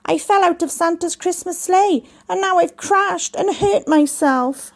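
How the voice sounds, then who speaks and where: A woman's voice: extremely high pitch, measured pace, voice pushed up. A woman voicing a small, eager male Christmas elf who exclaims earnestly in a children's storybook. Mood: distressed, indignant.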